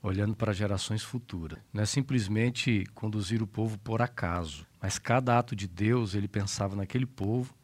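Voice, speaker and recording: Low-ish brazilian pastor dude telling bible stories, lots of um and repeats. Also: male voice, fairly quick, few pauses. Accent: Brazilian Portuguese. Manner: animated storytelling, many fillers. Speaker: pastor and co-host. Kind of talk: Bible study discussion.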